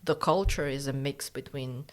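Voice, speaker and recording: A woman's voice: extremely low pitch, normal speed. A woman from Uzbekistan whose native language is Russian, chatting casually as a podcast co-host and telling stories in a playful, teasing way. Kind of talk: casual chat, animated storytelling.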